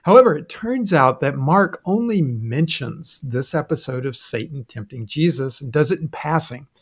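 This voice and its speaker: A man's voice: a little high, animated, moderate pace, slightly rough. A man co-hosting a podcast, explaining Bible passages in a conversational, explanatory way and sometimes chuckling. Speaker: podcast co-host.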